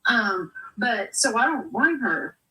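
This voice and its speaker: A woman's voice: a little high, sing-song, slow pace, sharp tone, recorded from a distance, a little hiss or hum, poor audio. Frank woman, a little off-mic. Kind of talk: casual chat, reading comments aloud.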